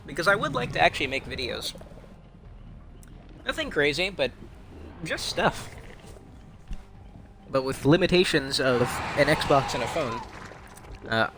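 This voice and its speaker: A man's voice: fairly high pitch, lively intonation, a little slow, pauses often, background noise. A young man chatting casually over his own gameplay, rambling and wry, poking fun at himself and at other players. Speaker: game streamer.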